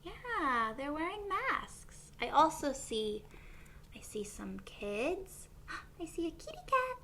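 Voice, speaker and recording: A woman reading a picture book aloud to young children as a teacher would, expressively, with pauses and questions for her listeners. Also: high voice, very animated, sharp tone, a little hiss or hum.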